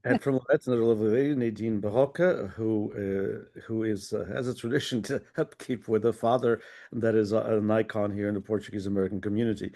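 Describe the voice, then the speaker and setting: A man moderates a panel in a low, mellow voice. His manner is jokey and rambling as he poses questions to the guests. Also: fairly even intonation, a little fast. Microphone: video call.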